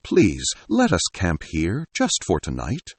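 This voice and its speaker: A man reads a weary, tense woman surgeon in an audio story, pleading slowly. The voice sits fairly low and a little husky. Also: wildly swooping pitch, no echo, heavily acted.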